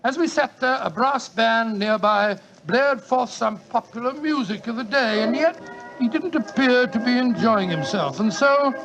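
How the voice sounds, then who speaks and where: A man's voice: very high-pitched, unhurried, fairly bright tone, soft background music, full character voice, poor audio. An actor playing Doctor Watson as a genial older Englishman, chatty and avuncular, in a 1940s radio drama. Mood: storytelling. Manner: chatty, avuncular, old-fashioned.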